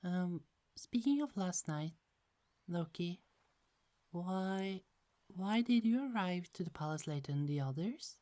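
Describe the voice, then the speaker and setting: A low, mellow female voice, as a woman acts it out, plays a gentle goddess who guards her precious apples. She speaks softly and earnestly and often stumbles before she finds her words. The tone stays warm and never turns sharp. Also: animated, measured pace. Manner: soft, earnest and a bit hesitant, with small stumbles. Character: gentle young goddess keeper of golden apples. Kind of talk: ASMR audio roleplay.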